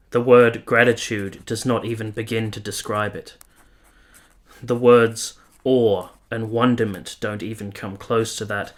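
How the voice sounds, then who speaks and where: An actor playing a weary, earnest, lovestruck army officer who narrates a radio play in solemn, literary, confessional language. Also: male voice, fairly low pitch, natural voice. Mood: fervent awe. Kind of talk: radio play, first-person letter narration.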